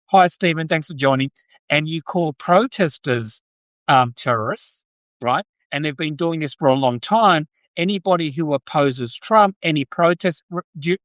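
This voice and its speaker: A man's voice: on the high side, a bit bright, dry recording. Australian man commentating, close dry sound. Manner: halting, repeats and restates phrases, breaks off to greet viewers. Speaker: podcast host, political commentator. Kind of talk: casual live-stream political commentary. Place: Brisbane, Australia.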